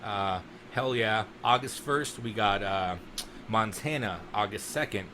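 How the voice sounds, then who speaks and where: Excited guy hosting a podcast, bright edgy voice, swears casually. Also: fairly low pitch, unhurried, slight background noise. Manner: enthusiastic fan, swears casually. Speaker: podcast host. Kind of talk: casual chat.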